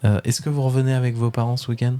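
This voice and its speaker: A man's voice: mid-range voice, level tone, racing, mellow. A man with a French accent hosting a casual webradio interview, hesitant and full of fillers but friendly.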